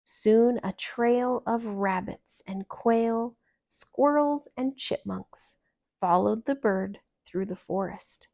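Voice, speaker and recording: Lady reading kids story, slow n calm, gentle, bit creaky. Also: medium pitch. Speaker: storybook reader. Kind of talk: expressive, calm children's read-aloud narration.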